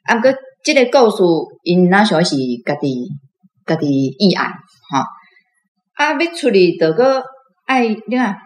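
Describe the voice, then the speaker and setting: A woman's voice: medium pitch, sing-song, a little slow. A woman with a Taiwanese accent hosting a conversational radio interview. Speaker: host. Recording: roomy echo, music behind.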